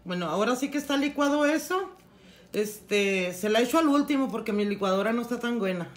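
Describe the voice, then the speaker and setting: A middle-aged woman explaining a recipe step by step in her home kitchen, unhurried and plain, with frequent restarts. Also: a little high, expressive, very noisy. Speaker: home cooking channel host. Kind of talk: casual cooking tutorial.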